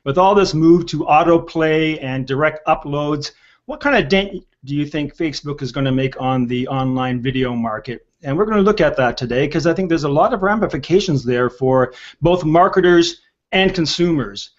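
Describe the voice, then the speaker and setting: A man's voice: a little high, normal speed. An older man moderating a panel show over a video call. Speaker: host and moderator. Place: indoor room on a video call. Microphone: video call, earpiece worn. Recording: dull, lo-fi video call audio.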